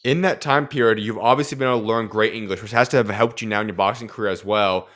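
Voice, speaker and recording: A man's voice: on the low side, fairly even intonation, fast, a bit bright. A young man hosting a boxing interview from a studio desk microphone, asking long, detailed questions. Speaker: host.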